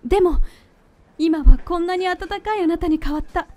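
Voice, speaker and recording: A woman acting in a radio drama as a gentle, sincere young girlfriend. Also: very high voice, moderate pace. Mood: moved. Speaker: voice actor.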